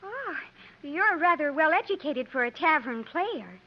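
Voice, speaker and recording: Casting: feisty frontier lady, high voice, haughty and formal, vintage radio drama, muffled recording. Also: sing-song, measured pace, heavily acted. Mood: flustered. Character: proud, suspicious, feisty young gentlewoman of frontier days. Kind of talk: 1950s radio acting. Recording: dull 1950s radio recording.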